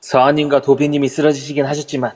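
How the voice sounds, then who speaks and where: A man's voice: mid-range voice, level tone, quick pace, darkish tone, natural voice. A man playing a servile, scheming henchman who urges his lord on in deferential archaic honorifics in an amateur audio drama. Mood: urging. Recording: long reverb throughout.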